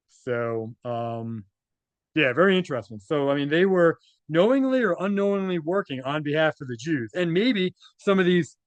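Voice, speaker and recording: Higher-pitched man reading and commenting informally. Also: dry recording. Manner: conversational, often tags statements with "right?". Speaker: presenter reading and commenting on documents.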